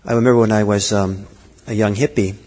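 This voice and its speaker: Low husky man in a reverberant hall. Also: fairly even intonation, a little mellow. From Norway. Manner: thoughtful, halting, many fillers and restarts. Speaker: Buddhist teacher. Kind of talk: dharma talk.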